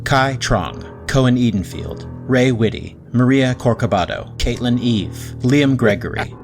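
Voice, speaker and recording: A man's voice: medium pitch, animated, measured pace. Male podcast announcer over music. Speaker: podcast announcer reading credits. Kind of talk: scripted credits narration.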